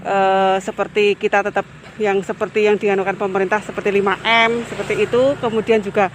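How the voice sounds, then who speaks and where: Health worker giving advice. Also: female voice, medium pitch, flat delivery, fairly quick, slight background noise. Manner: instructive, lists advice. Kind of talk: interview answers. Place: outdoors at a village hall.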